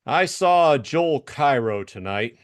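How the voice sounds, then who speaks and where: Man acting a shrewd, higher-pitched hard-boiled detective. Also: lively intonation, takes it slowly. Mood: casual, knowing. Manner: blunt, knowing. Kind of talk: dramatized audiobook dialogue.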